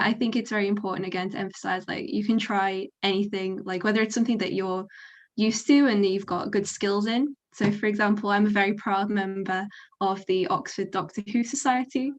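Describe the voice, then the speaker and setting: A young woman speaking informally as a student panellist, explaining things in a chatty way with examples. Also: mid-range voice. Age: young adult.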